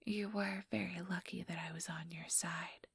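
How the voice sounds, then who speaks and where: A woman playing an ambitious vampire elder in a whispered ASMR roleplay, breathy, deliberate and patronizing, with cool menace. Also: fairly low pitch, fairly even intonation, no room sound, full character voice. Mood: taunting, probing.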